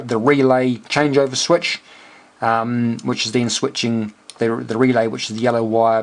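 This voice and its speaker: A man with a New Zealand accent talking casually and unscripted in a halting, rambling way, as a hobbyist thinking aloud. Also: mid-range voice. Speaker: amateur radio operator and homebrew electronics hobbyist. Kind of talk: casual unscripted technical walkthrough.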